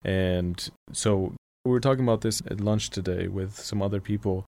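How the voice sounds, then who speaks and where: A man's voice: lowish voice, moderate pace, vocal fry, a little mellow. A young man from Syria with an American accent, whose first language is Armenian, talking thoughtfully in a casual studio conversation and searching for his words. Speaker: podcast guest.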